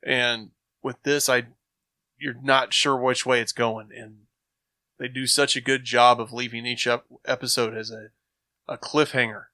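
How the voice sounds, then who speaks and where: A man's voice: mid-range voice, little pitch movement, measured pace, pushed and bright, dry recording. A man chatting casually and enthusiastically as a podcast panelist, effusive about what he likes.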